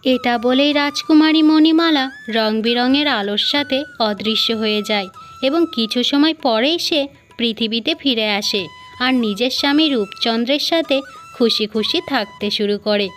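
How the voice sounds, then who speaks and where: Female storyteller, high register, scripted children's fairy-tale narration over background music. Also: a little slow, fairly bright tone. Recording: music behind the voice.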